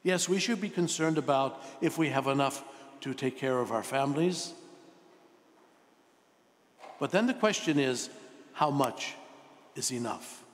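A man in his sixties, a priest giving a sermon in a deliberate, emphatic way with rhetorical questions and pauses. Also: mid-range voice, animated. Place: large church, indoors at the altar. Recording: long reverberant church echo.

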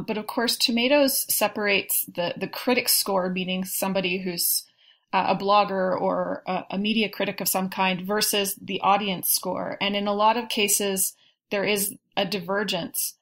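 A woman speaking as a guest in a casual discussion, choosing her words in a measured, precise way. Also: mid-range voice, a bit bright. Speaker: podcast guest.

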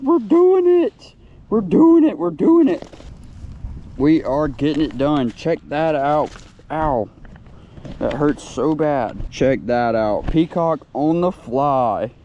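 A young man filming a solo fishing vlog outdoors at a lake with a chest-mounted action camera, excitably talking to the fish as he reels them in. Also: on the high side, dull audio, a little hiss or hum. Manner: excitable, talks to the fish while reeling. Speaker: fishing vlogger. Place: outdoors by a lakeside.

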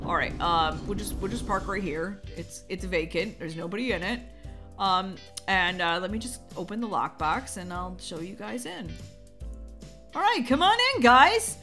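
A woman's voice: medium pitch, lively intonation, normal speed, music in the background, lightly acted. A woman playing an upbeat, pushy real-estate agent with a chirpy sales manner in a game roleplay story. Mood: salesy.

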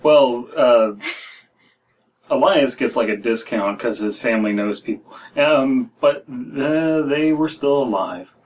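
An American man in his thirties hosts a casual story-reading podcast, reading haltingly and breaking off for joking asides. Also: medium pitch, slight background noise. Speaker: podcast host reading stories aloud. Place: small room at home, indoors. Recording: distant mic in a room.

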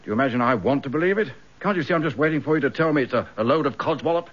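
An actor playing a loyal, anxious British detective inspector in a radio drama, earnest and direct. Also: male voice, medium pitch, animated, racing, lightly acted. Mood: anxious, pleading. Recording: slightly dull radio drama recording.

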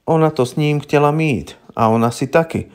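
A man voicing a wary, gruff old village herbalist woman in an audiobook, with blunt, knowing, rustic colloquial speech. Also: a little high, pitched above the performer's own voice, natural voice. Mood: knowing, matter-of-fact. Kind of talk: audiobook character voice.

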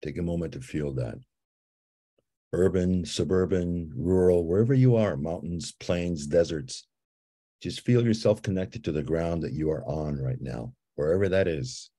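Deep husky man guiding a meditation. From Guatemala. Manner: slow, calm and repetitive, with soft repeated invitations. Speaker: ceremony leader guiding a visioning exercise. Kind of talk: guided visualization and storytelling.

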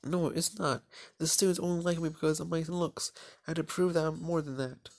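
A man's voice: a little high, fairly even intonation, brisk, creaky, voice pushed up. A proud, prickly anime schoolgirl, acted out by a man, in a raised girlish voice. She is bossy and ambitious, the popular girl of a visual novel game. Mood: proud, insistent.

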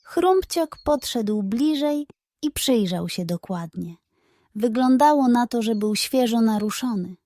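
A woman narrating a scripted bedtime story for children in an expressive, suspenseful way. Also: on the high side, a little slow, no room sound, clean studio sound.

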